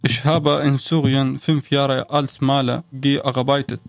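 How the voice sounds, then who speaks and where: Slow-spoken Syrian man in his thirties. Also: medium pitch, level tone, no room sound. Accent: Syrian Arabic. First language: Arabic. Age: 30s. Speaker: radio volunteer and news translator. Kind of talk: slow careful interview answers in a learned language. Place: radio studio.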